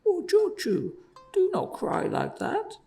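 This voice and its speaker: A man voicing a kind, terrified older male merchant who pleads in a high, strained voice and gasps out whispers. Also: wildly swooping pitch, takes it slowly, gravelly, dark tone, far from the mic, faint music behind, heavily acted. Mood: pleading. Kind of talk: storybook reading.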